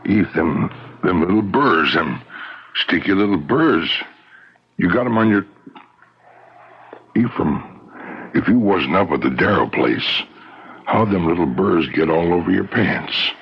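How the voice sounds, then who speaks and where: An actor playing a solemn rustic countryman with a husky voice and halting, folksy speech in a radio drama. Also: low voice, animated, exaggerated voice. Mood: solemn, accusing. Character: plain rustic countryman, solemn, questioning an old friend. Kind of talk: old-time radio drama acting. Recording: dull radio recording.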